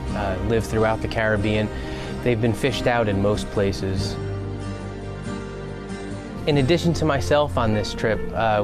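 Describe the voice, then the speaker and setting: A man speaking as a conservation scientist in a documentary interview, explaining field work. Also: fairly low pitch. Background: music.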